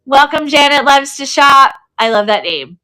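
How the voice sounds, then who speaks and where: A woman's voice: sits high, expressive, normal speed, pushed and bright. A woman hosting a live online sale in casual chat, effusive and chatty, often repeating words for emphasis. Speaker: host of an online selling livestream.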